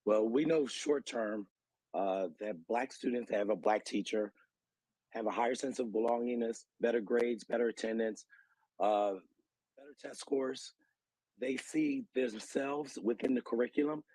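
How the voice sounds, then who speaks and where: A man's voice: mid-range voice, unhurried, a little mellow, dry recording, low-quality recording. An American man giving earnest, articulate explanations as an interview guest, citing research and figures like an advocate.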